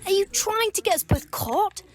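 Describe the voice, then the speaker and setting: A woman's voice: very high-pitched, animated, normal speed, slight background noise. A woman acting a prickly, defensive young solicitor who works behind a bar, in an amateur audio drama, curt and indignant. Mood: angry, accusing. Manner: curt, indignant, sharp-tongued.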